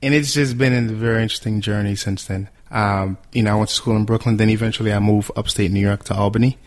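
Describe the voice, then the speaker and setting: A young man from Haiti, a guest on a podcast, answering in a relaxed, humble, reflective way. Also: fairly low pitch, fast. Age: young adult. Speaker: guest, entrepreneur. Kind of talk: interview answers.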